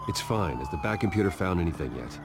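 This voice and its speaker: Low-voiced, grim masked vigilante. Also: male voice, wildly swooping pitch, fast, heavy background noise. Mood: grim, determined. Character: grim masked male vigilante, determined and guarded. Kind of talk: video game voice acting.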